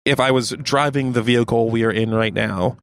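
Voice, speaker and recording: A man's voice: mid-range voice, fairly even intonation, slight background noise. A Canadian man co-hosting a radio show, chatting casually from a moving car and hesitating often with fillers. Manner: rambling, halting with many fillers. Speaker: radio co-host. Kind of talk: casual chat.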